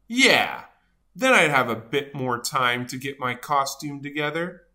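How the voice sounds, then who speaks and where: A woman's voice: extremely low pitch, expressive, measured pace, sharp tone, voice pushed down, exaggerated voice. A woman voicing a grumpy, dramatic but good-hearted male sunflower seed in a children's storybook, with theatrical, self-important delivery. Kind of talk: animated children's storybook read-aloud.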